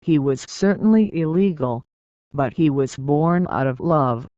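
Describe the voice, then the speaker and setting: An audiobook reader giving a scripted narration, reading in a measured, deliberate way with pauses between phrases. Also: mid-range voice, expressive, warm tone, no room sound, muffled recording.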